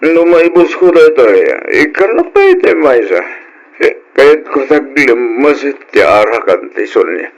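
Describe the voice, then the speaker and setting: An actor with an Ilocano accent playing a troubled, apologetic young husband in an old radio drama. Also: male voice, medium pitch, big pitch swings, normal speed, lightly acted. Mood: weary, evasive.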